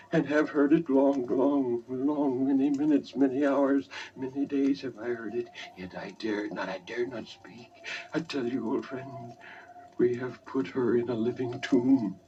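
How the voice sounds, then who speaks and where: Man acting an unhinged gothic gentleman, gibbering with dread. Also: medium pitch, muffled recording, lightly acted. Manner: hurried, murmuring, repeats words obsessively. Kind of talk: vintage dramatic horror reading. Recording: noisy old vinyl record, distant.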